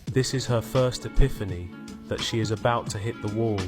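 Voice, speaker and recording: Male commentator over clear background music. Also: lowish voice, normal speed. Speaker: reaction commentator. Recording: music playing behind the voice.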